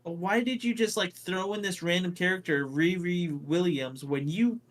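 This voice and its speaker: A man's voice: sits high, normal speed. A man co-hosting a casual pop culture podcast, opinionated and swearing freely as he talks. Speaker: podcast co-host.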